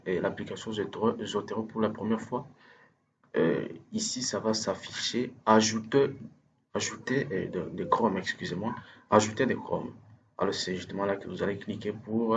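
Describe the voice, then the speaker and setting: A man with French as his first language, giving a hesitant, step-by-step software tutorial over a screen recording, full of fillers and restarts. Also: on the low side, dull audio, slight background noise. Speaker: instructor.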